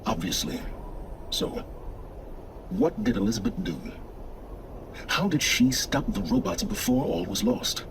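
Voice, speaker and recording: An actor playing a condescending, pompous middle-aged male scholar of ancient ruins, with measured, theatrical delivery in a video game. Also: medium pitch, huge pitch swings, gravelly, a little hiss or hum, full character voice. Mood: urgent, probing.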